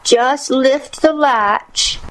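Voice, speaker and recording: A woman's voice: high-pitched, drawn-out words, a bit bright, pitched above the performer's own voice, full character voice, poor audio. A woman voicing a frail, kindly old grandmother in a storybook. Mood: frail, welcoming. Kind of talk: storybook character voice.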